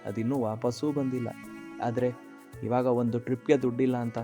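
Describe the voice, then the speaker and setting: A man's voice: medium pitch, steady tone, normal speed, dark tone, soft background music, lightly acted. A man voicing a kind-hearted, jobless young man in a cartoon story, earnest and reflective. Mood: hurt, dejected. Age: young adult. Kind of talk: dramatic monologue and dialogue in cartoon story.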